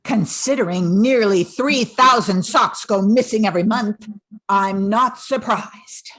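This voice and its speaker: Radio-play agent woman acting: confident, businesslike detective, average pitch, can-do attitude. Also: unhurried, fairly bright tone. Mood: dry, correcting.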